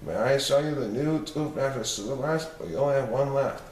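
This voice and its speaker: A man's voice: mid-range voice, brisk, natural voice. Man as a storybook car salesman, courteous, cautious, puzzled, roomy recording. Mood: polite. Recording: roomy, distant mic, faint noise.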